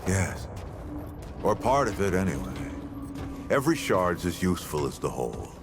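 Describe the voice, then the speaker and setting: An actor playing a weary, gruff older man, a cryptic veteran traveler in a dramatic video game, brooding and terse. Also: deep voice, very animated, moderate pace. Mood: gruff, dismissive. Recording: loud background music.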